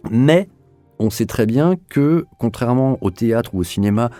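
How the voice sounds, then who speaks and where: A man's voice: medium pitch, expressive, normal speed, warm tone, no echo, faint music behind. A man being interviewed as an audio series director, explaining his own work in a hesitant way, often repeating words as his thoughts form.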